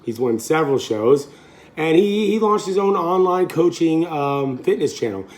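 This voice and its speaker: A man's voice: a little high, animated, moderate pace, a little mellow. A man in his late thirties, a fitness coach, chatting casually in a home cooking vlog, rambling and correcting himself with easy laughs. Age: 30s.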